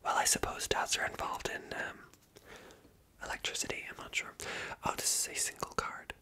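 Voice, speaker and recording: A woman whispering softly as she reads jokes aloud and adds wry, self-mocking asides, stumbling over words now and then. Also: normal speed. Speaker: ASMR creator. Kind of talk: whispered ASMR commentary.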